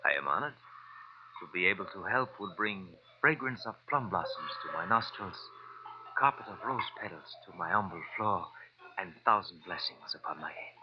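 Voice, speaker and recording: An actor playing a courteous, wily Chinese curio dealer with an accent and flowery, formal speech in a classic radio drama. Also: male voice, low voice, expressive. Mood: flowery, humble. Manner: flowery, formal, measured speech dropping articles. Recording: muffled, faintly noisy vintage radio recording.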